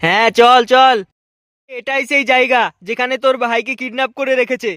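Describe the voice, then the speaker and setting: Woman voicing a high-pitched confident cartoon boy sidekick. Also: level tone, brisk, a bit bright, smooth, no echo, clean studio sound. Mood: assured. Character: confident young boy sidekick playing detective. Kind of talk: amateur comic cartoon dubbing.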